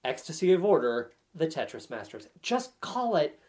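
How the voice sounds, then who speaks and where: A man's voice: on the high side, very animated, moderate pace, darkish tone. Sardonic man in casual movie banter. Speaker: podcast guest reviewing movie trailers. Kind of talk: casual comedic movie chat.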